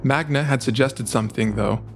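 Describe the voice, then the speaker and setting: A man's voice: mid-range voice, normal speed. A man reading a fantasy story aloud as dramatic scripted narration. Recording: music behind the voice. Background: dramatic background music.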